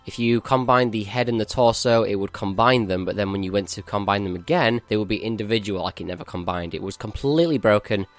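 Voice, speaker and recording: A man's voice: fairly low pitch, fast talker, hardly any pauses. A male gaming channel host giving a matter-of-fact, explanatory walkthrough of a game update.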